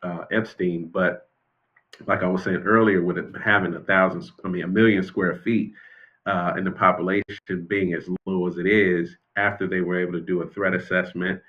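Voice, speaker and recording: An American man speaking as an expert interview guest and former prison official, explaining things in a measured, conversational way. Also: deep voice, little pitch movement, highs cut off. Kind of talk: unscripted interview commentary.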